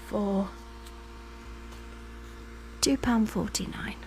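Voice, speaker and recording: Woman, ASMR air hostess roleplay, soft-spoken, often whispering, polite, medium pitch, noisy recording with clicks. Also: extremely slow. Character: polite, attentive air hostess serving a passenger. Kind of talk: ASMR roleplay, personal attention.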